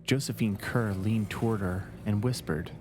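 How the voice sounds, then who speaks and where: A man narrating a scary story from a script in measured, deliberate phrases. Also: on the low side, background noise. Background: background music.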